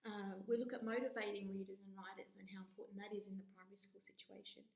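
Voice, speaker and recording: A woman's voice: mid-range voice, little pitch movement, fast, reverberant, rough recording. An Australian woman lecturer introducing a university course module in a chatty way, with frequent ums and restarts.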